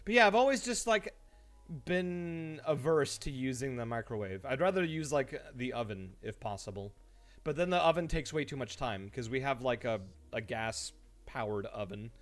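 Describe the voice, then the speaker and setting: A man streaming live, chatting casually to viewers and telling stories in an animated way, acting out his reactions. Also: mid-range voice, moderate pace. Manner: animated storytelling, mimics his own reactions; laughs easily while talking. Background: background music.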